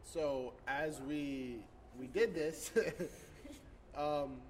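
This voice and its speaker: A man's voice: on the high side, extremely slow, darkish tone, slight background noise. A man of about twenty-five telling how his businesses started, in an upbeat, hands-on way. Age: 20s. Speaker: business co-founder guest. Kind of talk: casual podcast chat.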